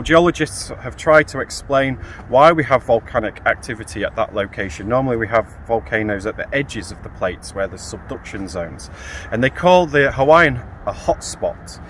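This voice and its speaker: A man's voice: medium pitch, fairly bright tone. A man in his thirties giving an informal, explanatory lecture outdoors to camera, posing questions and answering them. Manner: explanatory, poses rhetorical questions. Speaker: field geologist giving an explanation. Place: outdoors in a grassy field. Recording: outdoor recording with clear ambient noise.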